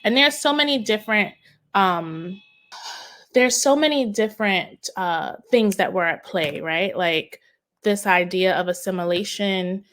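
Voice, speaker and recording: A woman, a guest educator, telling her story in an interview in a reflective, searching way, often pausing and restarting phrases. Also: medium pitch, fairly bright tone. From United States, of Haitian parents.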